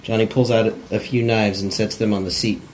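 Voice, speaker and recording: Low male voice, stage-direction narration, plain amateur cold read, occasional stumbles, faint noise. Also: little pitch movement, brisk, rough recording. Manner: plain, stumbles over words.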